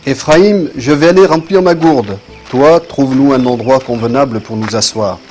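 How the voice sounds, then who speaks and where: Man as calm kindly prophet, cartoon dub, measured formal delivery, medium pitch, soft background music. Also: natural voice. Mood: composed. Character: calm, kindly male prophet, patient and serene.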